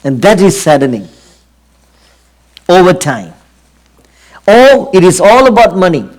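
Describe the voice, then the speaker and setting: A middle-aged man from Singapore with a Singaporean accent, preaching an earnest sermon and often pausing on fillers. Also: sits high, sing-song, rough recording. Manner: earnest, drawn-out emphasis with frequent fillers.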